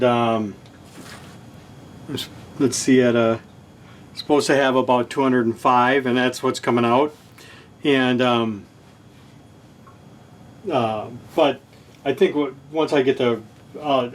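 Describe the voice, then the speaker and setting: A man giving casual, unscripted narration of hands-on repair work, thinking aloud in laid-back phrasing, often trailing off and correcting himself. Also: mid-range voice. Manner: halting, trailing off and self-correcting mid-thought. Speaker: host of a repair channel, hobbyist radio restorer.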